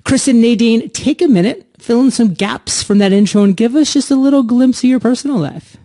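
A man's voice: very high-pitched, fairly quick, dark tone, no room sound, clean studio sound. A man hosting an upbeat entrepreneurship podcast, with an emphatic, punchy delivery. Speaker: podcast host.

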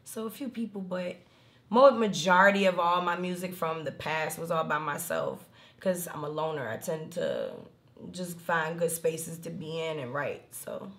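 A woman in her thirties with a raspy alto voice, chatting casually in an interview and rambling through stories with many fillers. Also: low voice, animated, moderate pace, fairly bright tone. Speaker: rapper and singer interviewed. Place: indoor room, seated on a leather couch.

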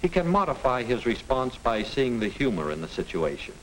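A middle-aged American man reading scripted narration as a lecturer, didactic and deliberate. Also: medium pitch, sing-song, fairly bright tone, low-quality recording. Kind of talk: scripted educational film narration. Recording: hissy 1950 film soundtrack.

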